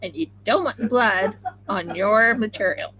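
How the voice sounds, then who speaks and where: A woman with an Oklahoma accent chatting excitably and rambling on a home craft live stream while she sews. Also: medium pitch, unhurried, slight background noise, poor audio. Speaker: craft channel host. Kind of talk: casual live-stream chat while sewing.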